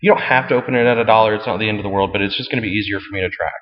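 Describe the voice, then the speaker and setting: A man giving a casual, plainspoken step-by-step tutorial as he walks through a screen. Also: rapid-fire. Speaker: fantasy football league commissioner. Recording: muffled, boomy room with long reverb.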